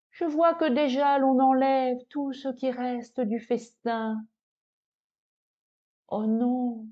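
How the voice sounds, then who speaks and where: A woman voicing a vain, naive cheese in a recited poem, lamenting its fate in a plaintive, theatrical way with dramatic pauses. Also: sits high, muffled recording, pitched above the performer's own voice. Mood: anxious, disbelieving.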